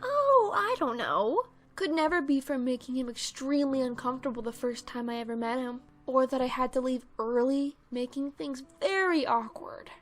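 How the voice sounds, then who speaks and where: A woman playing an anxious, easily embarrassed young filly in an animated pony drama. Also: sits high, normal speed, few pauses. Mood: nervous, sarcastic.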